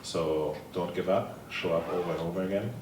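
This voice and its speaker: A man's voice: low voice, fairly even intonation, fairly quick. A man from Germany with a German accent gives a conference presentation, telling stories in a casual, upbeat way and answering questions. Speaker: table tennis program leader presenting. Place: conference room. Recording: echoey room, from far off, noisy.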